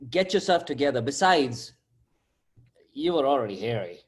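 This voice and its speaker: A man's voice: medium pitch, very animated, moderate pace, close to an everyday voice. A man playing a cheeky, laid-back sidekick in a comic table read, slangy and full of wisecracks. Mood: teasing. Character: cheeky, laid-back male sidekick teasing his buddy.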